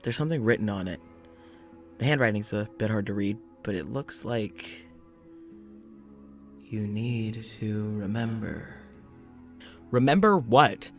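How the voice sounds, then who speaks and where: Man acting as a weary, slow-talking, exasperated doctor. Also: fairly low pitch, faint music behind. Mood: frustrated. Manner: exasperated, sighing, halting. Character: weary, frustrated amnesiac male doctor keeping an audio journal. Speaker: voice actor playing podcast's lead character. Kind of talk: audio drama, spoken journal monologue.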